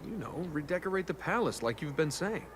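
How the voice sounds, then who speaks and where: A man's voice: fairly high pitch, sing-song, fairly quick. A man playing a wisecracking young adventurer hero in a video game, sarcastic and flippant. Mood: wry. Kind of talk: video game acting, casual banter. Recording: noisy game ambience behind.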